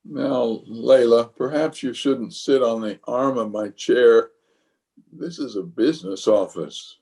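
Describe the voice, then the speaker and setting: An actor as a pompous middle-aged boss in an old-time radio comedy. He blusters and stammers, hedging his way around things in a man's mid-pitched voice. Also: slow, gravelly, dark tone. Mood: evasive, flustered. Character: flustered, evasive, pompous middle-aged male water department boss.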